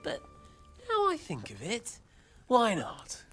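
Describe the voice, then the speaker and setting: Smug game warlord: lightish pitch, lively swings, mock-polite menace, music underneath. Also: male voice, slow pace, exaggerated voice. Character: smug, cruel male warlord taunting his captive. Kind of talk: dramatic video game cutscene acting.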